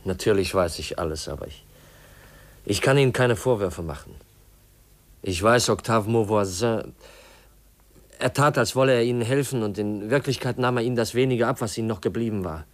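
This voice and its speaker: An actor playing an earnest, troubled young nephew in a 1950s German radio play, speaking formally and haltingly. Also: male voice, lowish voice, close to an everyday voice. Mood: grave, accusing. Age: young adult. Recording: 1950s radio drama recording.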